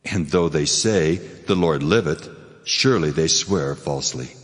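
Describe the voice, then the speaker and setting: Stern God, very deep, cavernous reverb. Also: male voice, a little slow. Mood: commanding. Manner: solemn, commanding, declamatory. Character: stern male God pronouncing judgment on his people. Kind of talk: dramatized scripture reading.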